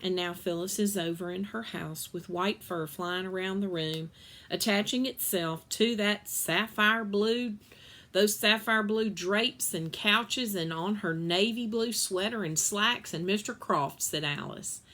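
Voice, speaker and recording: A woman's voice: mid-range voice, unhurried, fairly bright tone. Woman reading a children's story aloud. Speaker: reader of a children's novel. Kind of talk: expressive read-aloud storytelling.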